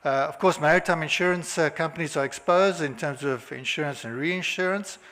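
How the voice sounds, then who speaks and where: A South African man presents at a conference in a hotel hall, his fairly high voice trailing into long reverb. He talks haltingly, stopping often on filler sounds and restarting his phrases. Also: a bit bright. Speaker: conference speaker.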